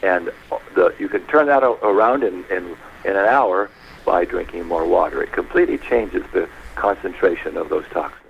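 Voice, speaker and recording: A man with a very low, gravelly voice talks through health advice on a radio program. His delivery is measured and anecdotal, walking through each point step by step, over a faint hiss in the background. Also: low-quality recording. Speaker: health guest. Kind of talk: explanatory radio talk.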